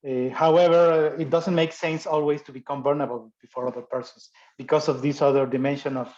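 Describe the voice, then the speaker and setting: A man whose first language is not English, giving an academic talk and answering questions, speaking haltingly with many restarts and fillers. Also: fairly high pitch, steady tone, a little mellow, lo-fi sound. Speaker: guest speaker.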